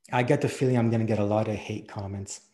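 A man's voice: on the low side, a little mellow. A man in his forties who stutters openly, hosting a casual interview and wandering onto tangents with frequent laughs. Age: 40s. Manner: rambling, tangent-prone, laughs often. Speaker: podcast host and stuttering advocate.

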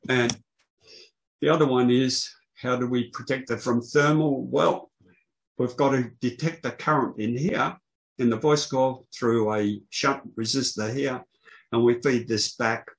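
An older man presenting technical material on a video call, explaining in a halting way. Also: medium pitch, steady tone, vocal fry, poor audio. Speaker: presenter, loudspeaker engineer. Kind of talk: technical presentation to an online meeting. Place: small indoor room, online video call. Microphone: video call microphone.

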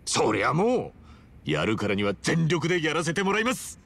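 A man playing an earnest, rough-spoken, big-hearted hero in a video game, husky and blunt. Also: a little high, wildly swooping pitch, normal speed, a bit bright, exaggerated voice. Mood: eager, earnest. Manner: blunt, informal. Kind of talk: scripted Japanese video game dub.

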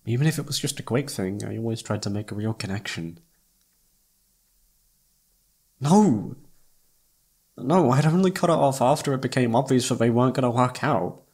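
A man playing a self-doubting, lovestruck best friend in an intimate ASMR roleplay, speaking softly and haltingly with tender hesitation. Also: medium pitch, animated, slightly rough. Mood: defensive, dejected. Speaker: amateur voice actor.

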